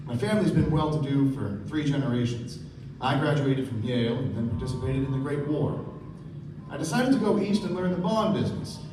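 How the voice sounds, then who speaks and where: A man playing a reflective, well-bred young narrator of the 1920s in a measured, literary stage delivery. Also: medium pitch, background noise, lightly acted. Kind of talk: scripted first-person stage narration. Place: stage of a small theatre. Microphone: far camera. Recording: echoey theatre from far off, music behind.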